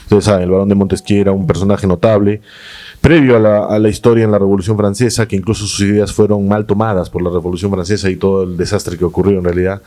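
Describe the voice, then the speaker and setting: A man's voice: on the low side, level tone, mellow. A man hosting a debate panel, citing classic political works in a scholarly way. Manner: fast-talking, scholarly.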